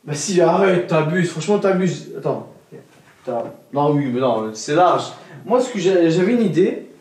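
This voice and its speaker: High, lively young man vlogging in a bedroom. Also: normal speed. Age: young adult. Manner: excitable, addresses viewers as "les gars", laughs often. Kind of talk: casual vlog to camera. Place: indoor bedroom at home. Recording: roomy echo, voice from off-mic.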